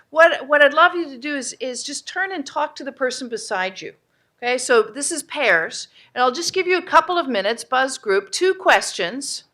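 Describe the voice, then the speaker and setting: A woman's voice: high-pitched, pushed and bright. A woman moderating a live audience discussion at a conference, energetically prompting the room for takeaways. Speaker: summit facilitator.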